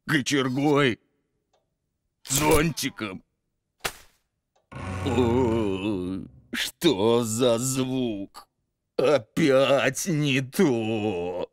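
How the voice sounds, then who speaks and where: A man voicing an aggrieved, fussy double bass husband in a cartoon, with a theatrical, drawn-out, grumbling delivery. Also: no room sound. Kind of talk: animated cartoon voice acting.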